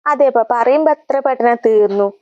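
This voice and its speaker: Woman playing fast-talking, sharp-tongued pregnant young mother, Kannur accent. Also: on the high side, phone-quality sound, close to an everyday voice. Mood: exasperated, sarcastic. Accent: Kannur, north Kerala. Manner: sarcastic retorts. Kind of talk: comedy sketch acting.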